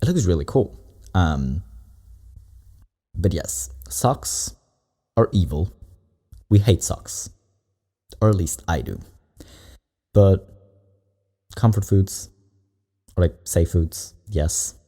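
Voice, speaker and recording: A man's voice: low voice, slow pace, long pauses. A man hosting a reaction video, giving casual, rambling commentary with asides. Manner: relaxed, rambling asides with laughs.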